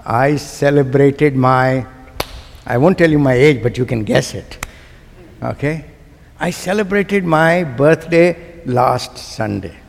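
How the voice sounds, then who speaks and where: A man with a Pakistani accent preaching a sermon to a congregation, using emphatic, deliberate phrasing and putting rhetorical questions to his listeners. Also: medium pitch, vocal fry. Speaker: guest preacher. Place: large church sanctuary. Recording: long hall reverb of a church sanctuary.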